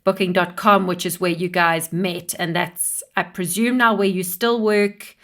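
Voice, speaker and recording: A woman's voice: fairly low pitch, fairly even intonation, measured pace, a bit bright. A woman hosting an interview podcast, prompting her guest in an encouraging, conversational way. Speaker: podcast host.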